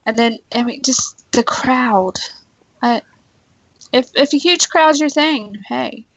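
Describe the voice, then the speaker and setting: A woman's voice: on the high side, slow, dry recording, poor audio. A woman in casual conversation on a talk show, giving her opinions in an informal, emphatic way.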